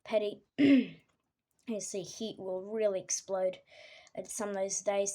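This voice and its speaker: An Australian woman with a croaky voice from a cold, giving a casual weather forecast with many ums and frequent throat clearing. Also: medium pitch, darkish tone.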